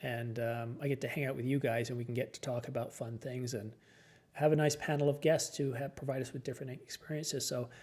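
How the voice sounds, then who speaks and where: A man's voice: medium pitch, level tone, brisk, darkish tone. A tired man hosting a late-night live stream, chatting casually and answering viewer comments as his voice starts to go.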